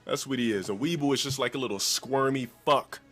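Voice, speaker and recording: A man with a deep voice ranting insults at a critic in a played-back clip. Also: fairly quick, a bit bright, husky. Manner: insulting, boastful rant. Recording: played clip with faint music.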